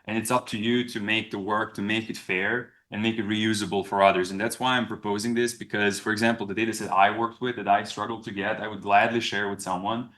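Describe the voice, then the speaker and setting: A young man from Serbia, giving a conference presentation in a halting way, often restarting and repeating words. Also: on the low side, flat delivery, a bit bright. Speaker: research presenter.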